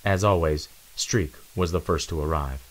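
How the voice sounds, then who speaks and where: A man's voice: a bass voice, moderate pace. A man giving a dramatic narration of an adventure storybook.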